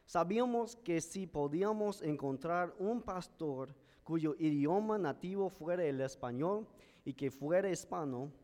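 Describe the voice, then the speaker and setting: Man, pastor, American accent, English native speaker, careful measured sermon, slightly high voice, long church reverb. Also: darkish tone. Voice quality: careful, measured delivery of a learned language. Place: church sanctuary.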